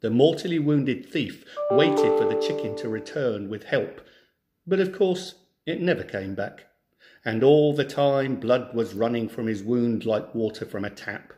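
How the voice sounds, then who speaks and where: A man reading a children's story aloud in an expressive, theatrical way. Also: mid-range voice, unhurried, soft background music. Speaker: storyteller, teacher.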